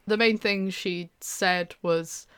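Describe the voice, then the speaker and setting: A woman's voice: mid-range voice, fairly even intonation, slow pace, fairly bright tone, clear, resonant tone. A young British woman chatting casually with a co-host, hesitant, with many fillers and restarts. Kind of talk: casual chat.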